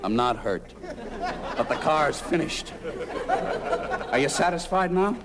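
An actor playing an exasperated, long-suffering husband in an old radio comedy sketch. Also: male voice, on the high side, expressive, takes it slowly, a crowd in the background. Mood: weary, gloomy. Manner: exasperated, griping. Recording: very noisy old radio audience recording.

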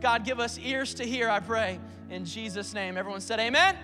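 A man in his late twenties, a pastor preaching a sermon from the pulpit in a church, energetic and playful with call-and-response to the congregation. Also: high-pitched, moderate pace, long reverb tail, over music. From South Carolina. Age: 20s. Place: church sanctuary.

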